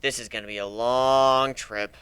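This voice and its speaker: A man giving an expressive, wry dramatic reading of a first-person story as an amateur narrator. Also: mid-range voice, measured pace, smooth, dry recording.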